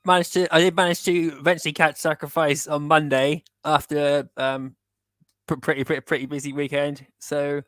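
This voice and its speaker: British male co-host, casual, stumbles and restarts a lot, slightly high voice, dry sound. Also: level tone, fairly bright tone, dry recording. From United Kingdom. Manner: halting, many restarts and repeated words. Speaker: podcast co-host. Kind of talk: casual chat.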